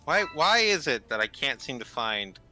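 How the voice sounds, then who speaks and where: Crude, swearing male player, sharp bright tone, animated pitch. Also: normal speed, faint music behind. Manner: crude, swears often, blunt. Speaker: player in a group game. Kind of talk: casual gaming chat.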